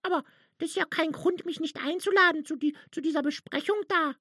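A woman acts out a pert little firefly with a very high, childlike voice. The sound is close and dry, like a storybook play. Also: steady tone, fairly quick, a bit bright, dry recording. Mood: cheeky, naive. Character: cheeky, naive little firefly, eager to join in. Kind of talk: children's audio play acting.

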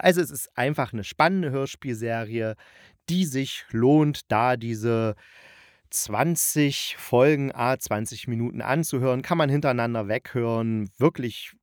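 A man's voice: medium pitch, dry recording, clean studio sound. A man hosting a podcast, giving a chatty review while thinking aloud and correcting himself. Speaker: podcast host and radio drama critic. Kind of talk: chatty, loosely scripted review monologue.

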